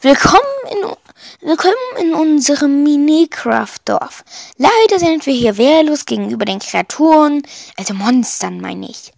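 A woman's voice: high-pitched, animated, measured pace, vocal fry, darkish tone, dry recording, full character voice. A woman voicing a young villager boy from a storybook, a mocked noob who earnestly and dramatically longs to be a warrior. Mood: wistful, yearning.

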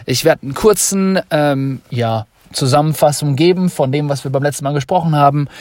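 A man's voice: on the high side, no echo, clean studio sound. A young man hosting a podcast, talking freely and emphatically, repeating points to drive them home. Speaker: podcast host. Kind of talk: unscripted motivational monologue.

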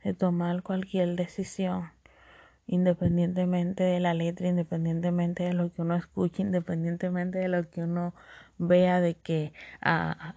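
A woman's voice: on the low side, vocal fry, warm tone, no echo. A woman with a Dominican accent hosting a solo podcast, chatty, laughing often and repeating catchphrases. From Dominican Republic. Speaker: podcast host.

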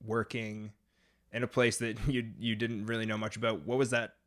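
A man's voice: on the low side, flat delivery, moderate pace. A man interviewing a guest, asking curious, hedging questions and often repeating words as he forms them.

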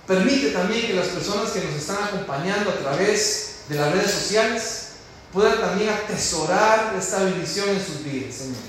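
High-voiced Costa Rican preacher in echoey hall. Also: male voice, animated, normal speed, a bit bright. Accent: Costa Rican Spanish. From Costa Rica. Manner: emphatic, asks the congregation questions. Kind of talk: church teaching talk with prayer. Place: large echoey church hall. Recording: very echoey hall with faint noise.

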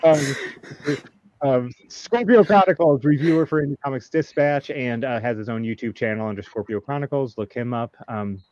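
A man in his thirties hosting a casual group podcast, laid-back and rambling with many pauses and ums. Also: medium pitch, a little mellow, lo-fi sound. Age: 30s. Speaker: podcast host.

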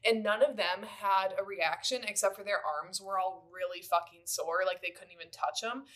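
A woman's voice: mid-range voice, steady tone, normal speed, a bit bright. A woman co-hosting a casual podcast, speaking in emphatic, sweary slang. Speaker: podcast co-host.

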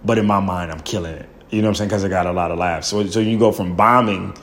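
A young man with a loud, somewhat low voice recounts his early days as a stand-up comedian. He tells it with animated energy and slips into the voices of the people he quotes. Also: fast. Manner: acts out quoted people in bursts. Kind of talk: animated storytelling interview.

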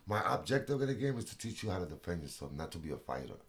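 A middle-aged man from Puerto Rico, a boxing coach chatting casually in an interview, animated and joking with frequent laughs. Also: sits low, very fast.

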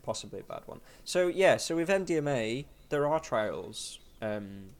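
A man's voice: medium pitch, big pitch swings, moderate pace. A man hosting a casual podcast chat, rambling with lots of fillers and restarts. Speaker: podcast host.

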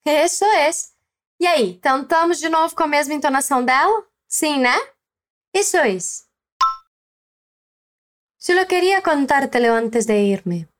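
A woman with a Brazilian Portuguese accent, teaching a language lesson slowly and clearly, prompting the listener to repeat. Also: high-pitched, lively intonation. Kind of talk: scripted lesson narration.

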